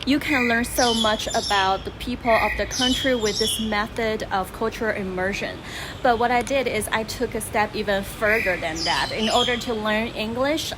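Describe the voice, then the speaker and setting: A young woman from Taiwan whose first language is Chinese, telling her story and giving advice as a channel host in a chatty, teacherly way. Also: a little high. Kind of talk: casual vlog storytelling. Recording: noticeable background noise.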